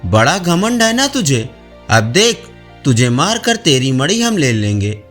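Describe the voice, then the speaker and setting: Old grumbling lion in an animal fable, done by a male reader, resentful, menacing, slow delivery. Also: on the high side, very animated, fairly bright tone, soft background music, voice pushed down.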